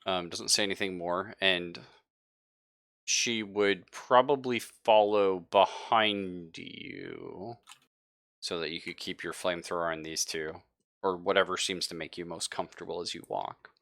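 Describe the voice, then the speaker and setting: Very deep-voiced man running a tabletop game. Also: steady tone, measured pace, gravelly, a bit bright, no room sound, studio quality. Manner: informal, explanatory. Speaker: game master. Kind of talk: improvised narration of a tabletop game.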